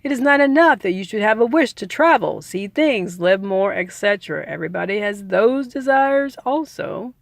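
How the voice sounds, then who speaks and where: A woman's voice: mid-range voice, animated, moderate pace, vocal fry, hardly any pauses, no echo. A woman reading a book aloud in an emphatic, instructive way, repeating key phrases and adding informal asides. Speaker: audiobook reader.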